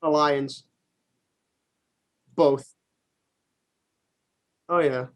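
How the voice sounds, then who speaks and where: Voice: medium pitch, steady tone, very slow. A co-host of a gaming stream chatting casually about esports in an informal way, swearing freely.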